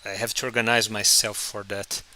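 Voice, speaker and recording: A man whose first language is Portuguese, chatting casually on a live stream while he draws, halting and restarting often. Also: lowish voice, level tone, sharp tone. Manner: halting, many restarts and fillers. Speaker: comic artist streaming his drawing.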